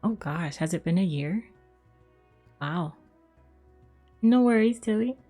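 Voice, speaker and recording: Mellow, unhurried young woman streaming. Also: lowish voice, animated, soft background music. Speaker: game livestreamer. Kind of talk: casual chat and game reactions.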